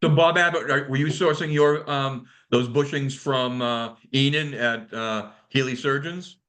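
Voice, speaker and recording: A man moderating a club technical call in a casual, halting way, often repeating words as he goes. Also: medium pitch, a bit bright. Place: remote conference call. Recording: conference call audio.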